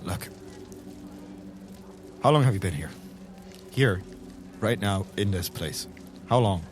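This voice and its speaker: A man's voice: sits low, lively intonation, takes it slowly, background noise. A man playing a blunt, probing investigator in improvised tabletop roleplay, pressing with repeated questions. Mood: insistent, pressing.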